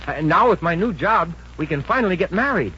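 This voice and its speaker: An actor playing an earnest, excitable young fiancé in a 1950s radio comedy, with a boyish manner. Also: male voice, sits high, fairly quick. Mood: eager. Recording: dull, noisy old radio recording.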